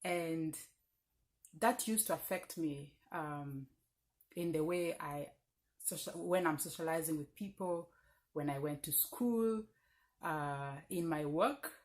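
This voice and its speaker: A woman in her thirties from Uganda with a strong Lugbara accent, talking earnestly and reflectively in a personal vlog, pausing often with fillers. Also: low voice, a little mellow. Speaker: vlogger. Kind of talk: casual personal talk to camera.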